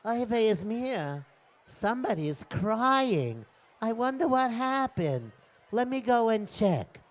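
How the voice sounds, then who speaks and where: A man voicing a polite, helpful young girl in an oral story, gentle, chatty and a little hesitant. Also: very high-pitched, very animated, slow, voice pushed up, heavily acted. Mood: concerned.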